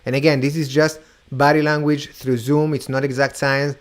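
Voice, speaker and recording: A man's voice: medium pitch, level tone. Argentinian-accented male host over a video call, playful and teasing, stretches out the reveals. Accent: Argentinian with Hebrew influence. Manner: teasing, upbeat, draws out reveals slowly. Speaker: mentalist show host. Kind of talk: playful live video-call variety show.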